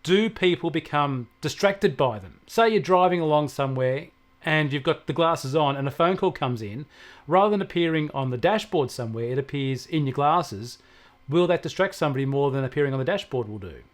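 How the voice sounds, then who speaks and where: Higher-pitched Australian man explaining tech. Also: animated, fairly quick. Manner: explanatory, uses everyday examples. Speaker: technology expert guest answering questions. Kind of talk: conversational explanation of technology.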